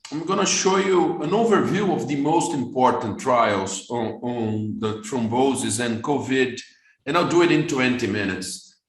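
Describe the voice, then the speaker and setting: Brazilian man lecturing in an echoey room. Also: medium pitch. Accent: Brazilian Portuguese. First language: Portuguese. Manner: fast, repeats words when restarting. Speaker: vascular surgeon lecturer. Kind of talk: webinar lecture.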